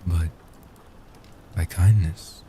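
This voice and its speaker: A young man playing a gentle, devoted centuries-old vampire guardian, soft-spoken and reassuring in a slow comfort roleplay. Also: low voice, steady tone, noisy background. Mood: intimate, grateful. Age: young adult. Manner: tender, reassuring, slow and deliberate.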